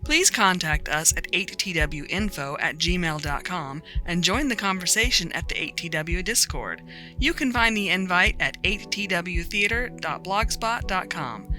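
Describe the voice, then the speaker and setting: An announcer reading the scripted closing credits of an audio drama. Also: medium pitch. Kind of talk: scripted narration. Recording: music under the voice. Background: music.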